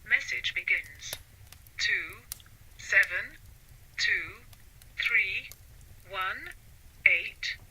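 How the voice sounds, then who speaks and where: A woman's voice: medium pitch, expressive, drawn-out words, pauses often, pushed and bright, slight background noise, exaggerated voice, rough recording. A woman playing an impersonal, mysterious numbers-station announcer in an audio story, carefully enunciating each word over shortwave radio. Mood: impersonal, measured. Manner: carefully enunciated, clipped isolated digits. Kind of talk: coded shortwave radio broadcast.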